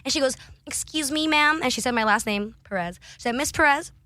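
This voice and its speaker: A young woman with a bright, forceful tone tells stories in a loose, candid way. She is animated, swears freely and laughs often. The sound is dry and close, with almost no room around it. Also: sits high, fairly quick, no echo. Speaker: podcast guest. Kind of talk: candid casual storytelling.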